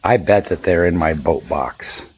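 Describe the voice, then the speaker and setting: Man in his 50s, raspy hoarse voice, lowish pitch, chatty rambling, faint hiss behind. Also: a little slow, darkish tone. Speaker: boat owner vlogger. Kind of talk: casual vlog narration.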